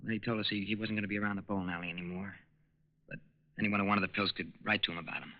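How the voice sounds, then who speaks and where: Actor as quick-talking nervous young draft dodger. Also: male voice, fairly low pitch, flat delivery, close to an everyday voice. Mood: sheepish. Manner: hesitant, stammering, boyish slang. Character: naive, nervous young working man dodging the draft. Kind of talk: 1940s radio crime drama acting. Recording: muffled 1940s radio recording.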